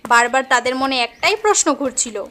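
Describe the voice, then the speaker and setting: A woman's voice: sits high, animated, fairly quick, pushed and bright. A woman narrating a moral cartoon tale in an earnest, dramatic storytelling manner.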